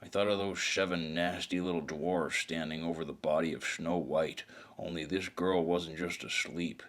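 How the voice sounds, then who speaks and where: An actor playing a weary, bitter hardboiled private detective, narrating in a deadpan, brooding, sardonic way. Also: male voice, deep voice, normal speed, slight background noise. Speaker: narrator and lead of a noir audio drama. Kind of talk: hardboiled noir narration and dialogue.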